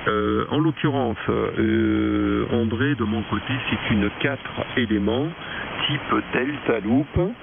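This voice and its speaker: A man from France, chatting as a radio operator in a courteous, wordy way with frequent pauses. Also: medium pitch, gravelly, noisy background. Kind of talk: radio contact chat. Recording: phone-like shortwave radio, loud interfering voices.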